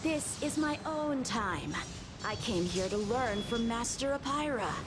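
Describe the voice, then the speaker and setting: A woman's voice: on the high side, animated, a little slow, loud music behind the voice. A woman playing a proud young swordsman in a video game, earnest, formal and intense. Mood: defiant, earnest. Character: proud young swordsman boy, entranced and hungry for combat. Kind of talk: dramatic video game voice acting.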